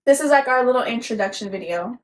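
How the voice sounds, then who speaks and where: A young woman in her twenties from Tampa chats as a podcast co-host, her voice sitting a little high. She talks in laid-back slang and laughs often. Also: animated, fast talker. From Tampa, Florida. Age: 20s. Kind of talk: casual chat.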